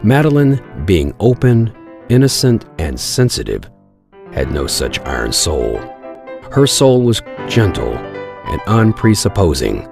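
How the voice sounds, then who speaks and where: A man narrating a Victorian melodrama in old-style radio theatre, formal, measured and theatrical. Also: fairly low pitch, sing-song, gravelly, a little mellow, faint music behind, full character voice. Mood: solemn, dramatic. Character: grave, ornate storyteller of Victorian melodrama.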